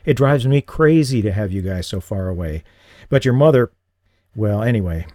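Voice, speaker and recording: A man voicing a lonely, regretful middle-aged father with a halting, earnest manner in audiobook dialogue. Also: fairly low pitch, animated, mellow, no echo, clean studio sound, lightly acted. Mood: apologetic, earnest.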